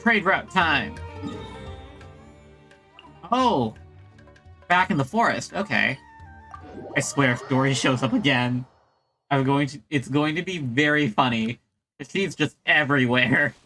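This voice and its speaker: A woman's voice: extremely low pitch, expressive, slow pace, long pauses, a bit bright, faint music behind. A woman giving casual, rambling and chatty commentary while playing a video game as a streamer.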